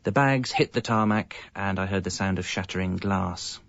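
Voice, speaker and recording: A man's voice: low voice, moderate pace, vocal fry, fairly bright tone, dry recording, dull audio. An English man playing a hapless, evasive young father, narrating and talking in a radio comedy with a hesitant, wry manner. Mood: wry, anxious. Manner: hesitant, self-justifying, wry. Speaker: narrator and lead character.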